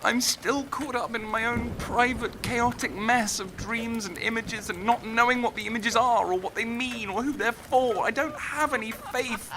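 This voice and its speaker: A man's voice: extremely high pitch, normal speed, hardly any pauses, noisy background. A young man acting in an audio drama as a lost, self-doubting writer, earnest and subdued. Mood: despairing. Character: lost, troubled young male writer, tender and despairing.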